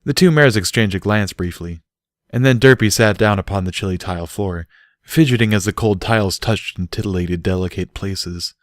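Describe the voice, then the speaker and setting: Low-voiced man narrating a story, dry recording. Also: moderate pace, no room sound. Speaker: audiobook reader.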